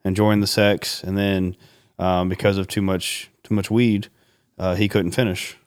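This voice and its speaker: A man with a very deep voice, chatting on a podcast in a low-key, mumbling, dryly joking way. The recording is close and dry, with no room sound. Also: level tone, a little slow, no echo. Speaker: podcast co-host. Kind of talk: casual chat.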